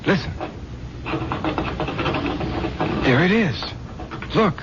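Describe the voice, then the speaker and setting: An actor playing an earnest, excitable reporter in a radio drama, insistent with his boss. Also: high-pitched, measured pace. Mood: hushed, awed. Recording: muffled, noisy old radio recording.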